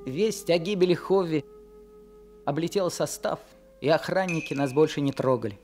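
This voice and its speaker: A man's voice: on the high side, natural voice. A man voicing an earnest teenage boy narrator. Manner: earnest, measured storytelling. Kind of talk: scripted narration in radio drama.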